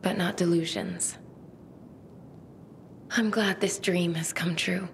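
Low-pitched, bookish young woman survivor. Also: steady tone, creaky, a little hiss or hum. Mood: resigned, tender. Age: young adult. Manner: measured, formal, literary phrasing. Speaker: game character. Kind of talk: video game cutscene dialogue.

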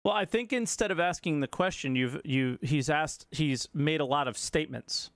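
A man's voice: mid-range voice, a bit bright, clean tone, no room sound, studio quality. A man giving casual, conversational reaction commentary as a streamer, musing as he goes.

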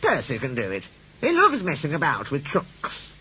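A man's voice: a little high, huge pitch swings, moderate pace, bright, forceful tone, slight background noise, voice pushed up, heavily acted. A man voicing a vain, pampered female diesel railcar in a children's story, with an affected, fussy, self-important manner. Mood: indignant, sulky.

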